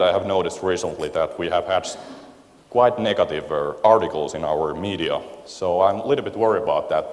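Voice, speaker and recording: A man with a Finnish accent gives a conference talk into a handheld microphone, his voice sitting very low. He pauses often to search for words in a language that is not his own. The sound rings with the long reverb of a big lecture hall. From Finland. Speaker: guest speaker at a conference. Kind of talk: conference presentation.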